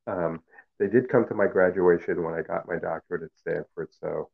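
A middle-aged man, a professor whose first language is Cantonese, answering interview questions in a measured, reflective way with many fillers and restarts. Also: low voice, fairly even intonation, vocal fry, breathy edge. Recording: phone-like narrow sound.

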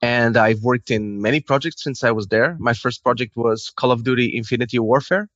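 A man's voice: medium pitch, a little fast, a bit bright, dry recording. A slightly dull, lo-fi recording of a man with a Portuguese accent and a mid-range voice. He answers as a guest in a conversational interview, with hardly any room sound around him. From Lisbon, Portugal. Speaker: guest, video game character artist.

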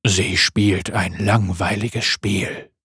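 A man voicing a hungry, playful male wolf spirit, speaking low, slow and growling. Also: fairly even intonation, a bit bright, husky, no room sound, studio quality, exaggerated voice.

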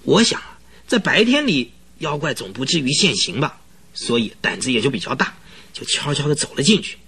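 An actor playing an agitated, talkative male client who recounts eerie events in a halting, rambling way in a radio drama, with a husky voice. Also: mid-range voice, big pitch swings, bright, forceful tone. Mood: eager, uneasy. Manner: rambling, halting, full of interjections. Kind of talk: Chinese radio drama acting.

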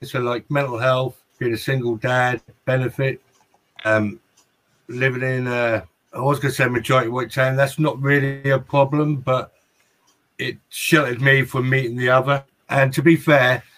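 Plain-spoken man with medium pitch. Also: flat delivery, normal speed, a bit bright. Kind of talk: casual chat.

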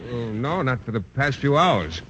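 An actor playing a shy, stiffly formal school coach in an old radio comedy. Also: male voice, medium pitch, very animated, moderate pace, a bit bright. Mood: earnest. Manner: stiff and bashful, formal wording. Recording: very noisy old radio broadcast.

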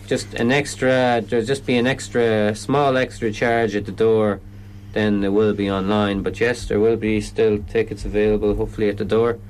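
Irish man, low pitch, hesitant restarts, radio interview guest, faint hum behind. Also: little pitch movement, moderate pace, a little mellow. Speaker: comedy event organiser.

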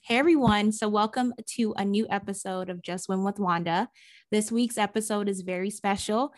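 A woman's voice: mid-range voice, normal speed. A woman hosting a podcast, welcoming listeners and introducing her guest in an upbeat way. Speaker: podcast host. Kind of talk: podcast interview.

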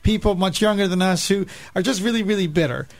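A man's voice: high voice, quick pace, creaky, a loud crowd. A man in his fifties chatting casually as a podcast co-host, explaining things in a conversational way. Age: 50s. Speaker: podcast co-host, regular guest. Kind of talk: casual chat. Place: radio studio.

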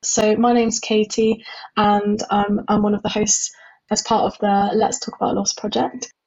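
A woman's voice: mid-range voice, flat delivery, a little slow, a little mellow. Young woman hosting, dull lo-fi sound. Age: 20s. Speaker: podcast host. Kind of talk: casual podcast hosting. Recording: dull lo-fi recording with faint music.

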